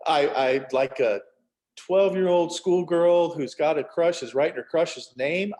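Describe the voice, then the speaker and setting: A man's voice: mid-range voice, a little mellow. A middle-aged man who is an author, chatting casually and telling stories with acted-out asides. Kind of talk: casual chat.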